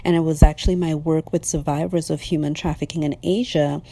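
A woman in her thirties from Trinidad and Tobago, a business owner giving earnest, measured answers as an interview guest. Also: deep voice, fairly even intonation, gravelly, warm tone.

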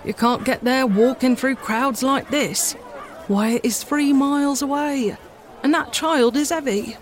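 A woman's voice: high-pitched, unhurried, some chatter behind. Storybook cabman, working-class Londoner, kindly, firm, reassuring, read by a woman, some background noise. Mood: firm, concerned. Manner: plain-spoken, reassuring.

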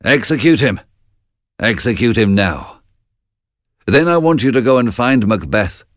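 A man's voice: mid-range voice, animated, a little slow, dry recording, clean studio sound, voice pushed up, natural voice. A man playing a stern commanding king. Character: commanding old King Duncan.

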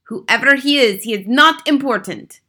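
A woman's voice: high voice, animated, moderate pace, pitched above the performer's own voice, full character voice. A woman voicing an imperious, gloating, menacing witch leader in a children's audiobook, snapping out commands in oddly turned grammar. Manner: commanding, snapping, shouting, odd foreign-sounding grammar. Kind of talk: audiobook children's story villain.

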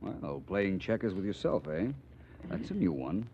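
An actor playing a suave, polished American private detective in 1940s radio style. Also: male voice, low voice, huge pitch swings, normal speed, mellow, a little hiss or hum, exaggerated voice. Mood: cool, probing. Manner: polished, crisp, probing. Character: suave, self-assured male private detective. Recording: muffled old radio recording.

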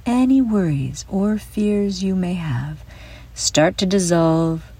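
Woman doing a kids guided meditation, really slow n soothing, lowish voice, careful instructions. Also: husky. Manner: soothing, deliberate instruction with gentle emphasis. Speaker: host and guide of a children's mindfulness audio show. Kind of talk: guided visualization, scripted narration for kids.

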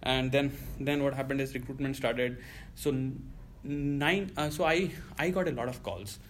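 A man's voice: medium pitch, normal speed, a bit bright, a little hiss or hum. A man in his thirties from India with an Indian accent, telling his story in a casual interview, cursing often and rambling with fillers. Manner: profane, rambling, lots of you know fillers. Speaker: guest.

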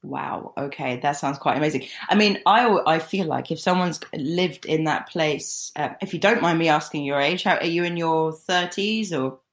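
Woman, thirties, podcast host, enthusiastic and effusive, a little low-pitched. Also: moderate pace, few pauses. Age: 30s. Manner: enthusiastic, gushing. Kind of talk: casual interview chat.

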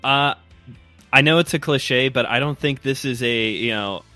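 A man's voice: medium pitch, moderate pace, bright, forceful tone, no room sound, faint music behind. A man in his twenties with a Chicago accent, telling a friendly, rambling story as a guest host on a podcast. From Chicago area, US Midwest. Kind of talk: casual podcast chat.

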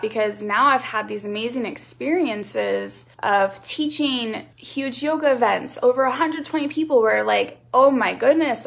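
A woman in her thirties hosts her own podcast, talking earnestly and encouragingly to yoga teachers. Her voice sits at an ordinary pitch with a noticeable gravelly fry. She builds her points by stacking phrases in a row. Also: fairly bright tone. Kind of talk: reflective solo podcast talk.

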